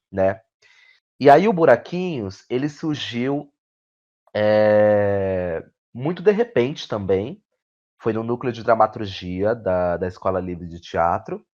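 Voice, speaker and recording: A Brazilian man with a fairly low voice talks as an interview guest. He speaks in a reflective, halting way, checking in with small tag questions. The audio is somewhat dull and lo-fi. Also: a little mellow. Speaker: guest playwright and actor. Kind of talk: conversational interview answers about own work.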